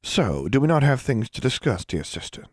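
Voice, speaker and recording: A man's voice: medium pitch, very animated, normal speed, a little mellow, no room sound, clean studio sound, voice pushed up. A man voicing a proper, imperious teenage older sister with a formal, precise and haughty way of talking. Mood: arch, amused.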